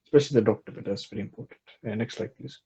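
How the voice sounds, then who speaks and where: A man voicing a boastful, self-styled male herbal doctor who brags in a pompous, deadpan way, on a dull lo-fi recording. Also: on the low side, very fast, vocal fry, slightly rough, full character voice.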